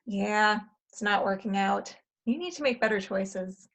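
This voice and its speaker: A woman's voice: mid-range voice, a little slow, a bit bright. A woman playing a jaded, insistent time traveler in a stage comedy, urgent and emphatic with a wry edge. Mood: jaded, pleading. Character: insistent, jaded older self from the future. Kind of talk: stage comedy acting in a table read.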